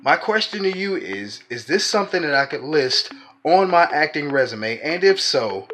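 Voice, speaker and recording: Formal man with a slightly high voice. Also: very animated, normal speed, fairly bright tone, a little hiss or hum. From Georgia, USA. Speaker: actor sending in a question. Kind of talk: prepared, formal spoken question.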